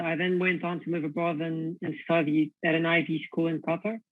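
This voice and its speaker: A man's voice: fairly high pitch, no room sound. Young man on a tinny video call. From Portugal. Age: young adult. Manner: thoughtful, repeats words while searching for them. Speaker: panelist, university student. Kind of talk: online panel answers.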